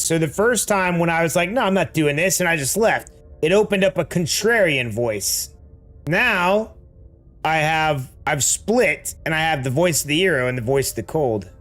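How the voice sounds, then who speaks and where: An excitable man commenting on his gameplay as he plays, his voice set a little higher than average. He talks quickly and laughs often, with faint game music playing behind him.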